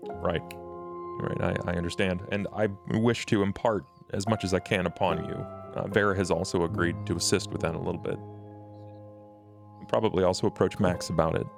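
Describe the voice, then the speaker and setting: A man playing a formal, careful vampire mentor who speaks in halting, measured phrases with a gravelly voice. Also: low-pitched, steady tone, music in the background. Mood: earnest, reassuring.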